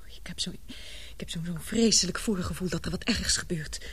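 A woman's voice: on the low side, moderate pace, slightly rough, in a whisper. An actress playing an anxious, frightened woman in a Dutch radio drama, with a hesitant, fretful delivery on a noisy recording. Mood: nervous.

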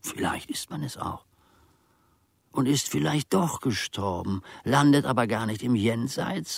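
Casting: brooding, aging manservant, radio drama; dry close sound, gravelly voice, ornate self-dramatising delivery. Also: male voice, mid-range voice, no echo. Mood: bitter. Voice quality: gravelly, husky. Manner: rambling, archaic, self-dramatising. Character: bitter, aging manservant brooding over his wretched life. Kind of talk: theatrical radio drama monologue.

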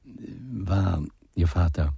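An actor playing a formal, sceptical state lawyer who questions probingly in a German radio crime drama. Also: male voice, deep voice, animated, drawn-out words, vocal fry, dark tone, husky, no room sound, clean studio sound, exaggerated voice.

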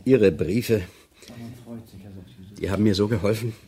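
Low-voiced, courteous jailbird charmer. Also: male voice. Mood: flattering, courteous. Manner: courteous, flattering, earnest pleading with hesitant pauses. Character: cultivated, smooth-talking male prison inmate and charming seducer. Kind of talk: German radio drama acting.

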